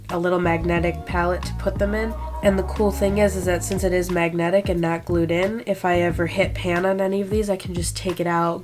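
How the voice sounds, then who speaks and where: A woman in her twenties with a stuffed-up cold voice, chatting casually and self-deprecatingly while doing her makeup. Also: on the low side. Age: 20s. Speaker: beauty vlogger. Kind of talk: casual makeup tutorial talk. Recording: music bed under the voice.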